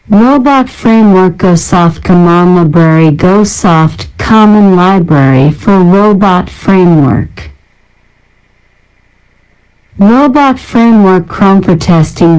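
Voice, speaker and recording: A woman narrating a scripted technical list in a flat, measured read-out. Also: fairly low pitch, clean tone, poor audio. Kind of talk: scripted narration, reading out a software library list.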